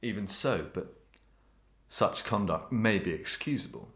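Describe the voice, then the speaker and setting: A man playing a cold, taunting murderous husband in a Victorian melodrama, speaking in measured, formal, archaic phrases. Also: lowish voice, expressive, a bit bright, slightly rough, heavily acted. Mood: sly insinuation. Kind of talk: Victorian melodrama audio acting.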